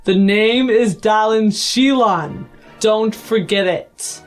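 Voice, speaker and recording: A man's voice: high-pitched, slow pace, soft background music. A man voicing a haughty dwarf noble with pompous, formal, clipped phrasing in a tabletop roleplaying game. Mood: indignant, proud.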